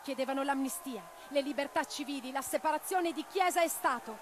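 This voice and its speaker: A woman playing a passionate, idealistic revolutionary in a radio drama, declamatory and expressive. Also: high-pitched, a little fast, noisy background, close to an everyday voice. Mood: solemn, fervent. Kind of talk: theatrical radio drama monologue and dialogue. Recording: radio play with music underneath. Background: music.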